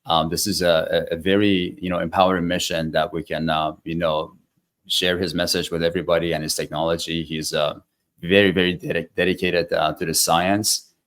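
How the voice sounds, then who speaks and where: A man with a slightly raspy voice answering as an interview guest in a halting, conversational way. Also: low voice, flat delivery, fairly bright tone. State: slightly raspy voice from dust after travel. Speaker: guest, wellness practitioner.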